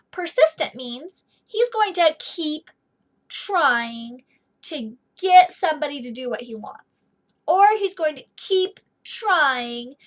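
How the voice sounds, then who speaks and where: 音调很高、语速缓慢的女老师. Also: female voice, sits high. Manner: simple, explanatory phrasing for young children. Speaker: teacher reading aloud. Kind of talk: children's lesson with picture-book read-aloud. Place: small indoor office or study.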